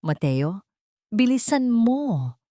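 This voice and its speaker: A woman's voice: fairly high pitch, big pitch swings, slow, mellow, no room sound, pitched above the performer's own voice. A determined caretaker, as a woman narrator puts her on, with a cold, commanding voice raised a little above the narrator's own. She barks orders with authority. It is a tense storybook heroine heard close and dry.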